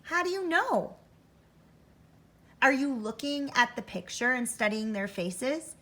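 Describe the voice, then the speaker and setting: A woman's voice: high-pitched, lively intonation, takes it slowly, a bit bright. A woman teaching first grade, giving an interactive read-aloud for children, thinking aloud expressively and addressing young listeners directly.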